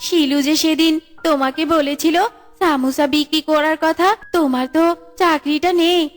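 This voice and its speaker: Bossy cartoon fox wife played by a woman, extremely high voice, nagging and teasing, faint music underneath. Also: steady tone, moderate pace, close to an everyday voice. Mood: encouraging. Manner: scolding, teasing. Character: sharp-tongued bossy fox wife and mother. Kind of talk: Bengali cartoon voice acting.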